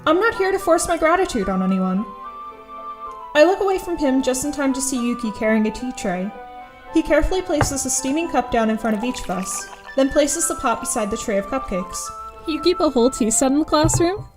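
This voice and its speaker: A woman's voice: high-pitched, lively intonation, a little fast, music in the background. A woman playing an awkward, earnest teenage schoolgirl who narrates her thoughts in an audio drama, a little hesitant. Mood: exasperated.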